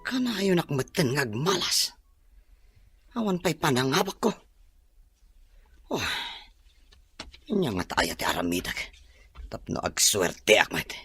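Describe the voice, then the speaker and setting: A man's voice: medium pitch, big pitch swings, unhurried, long pauses, pushed and bright, breathy edge, full character voice. An actor playing a frustrated, complaining man who grumbles about bad luck in a radio drama, with music behind him. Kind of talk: Ilocano radio drama acting.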